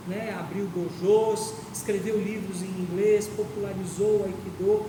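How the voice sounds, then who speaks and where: Brazilian woman teaching in an echoey hall. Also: mid-range voice. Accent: Brazilian Portuguese. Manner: explanatory, tags phrases with "né?" and "tá?". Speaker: aikido instructor. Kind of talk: informal lecture to students. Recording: echoey hall from far off, noisy.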